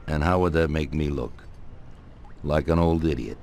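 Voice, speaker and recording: An actor playing an old, commanding mafia boss in a video game, speaking in a measured, deliberate, gruff manner. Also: male voice, a bass voice, a little mellow. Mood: confiding, grave. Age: older. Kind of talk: video game cutscene acting. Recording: noisy game audio with ambience.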